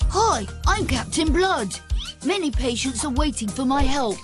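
A woman's voice: high voice, animated, unhurried. A woman reading a scripted public service announcement. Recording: music bed behind the voice.

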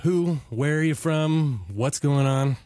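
A man in his forties hosting an interview, friendly and teasing, firing quick questions. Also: fairly high pitch, dark tone, no room sound, studio quality. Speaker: radio host. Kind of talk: casual interview.

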